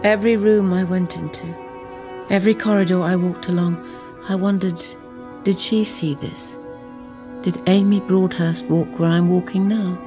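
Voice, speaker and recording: A woman's voice: lowish voice, fairly even intonation, normal speed, music in the background, natural voice. An actress playing a reflective woman who looks back ruefully in a radio drama. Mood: wistful. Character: reflective woman looking back ruefully on her troubled marriage. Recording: noticeable background ambience.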